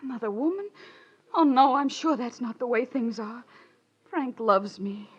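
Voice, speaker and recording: An actress playing an anxious, devoted young wife in a 1940s radio drama, speaking in an earnest, pleading way. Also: female voice, a little high, measured pace, husky. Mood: distressed. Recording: muffled old radio broadcast.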